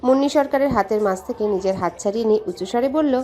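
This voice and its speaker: A woman's voice: a little high, very fast, dark tone, faint music behind. A woman reading a scripted dramatic story as its narrator.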